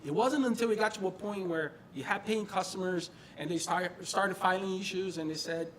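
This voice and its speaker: A man's voice: sits high, moderate pace, fairly bright tone, slight background noise, rough recording. A middle-aged man from Brazil and New Jersey gives an informal tech talk in a conversational, emphatic way, repeating phrases for stress. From born in Brazil, grew up in northern New Jersey, US. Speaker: presenter, quality engineering manager. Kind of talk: informal tech meetup presentation. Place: meeting room presentation before an audience.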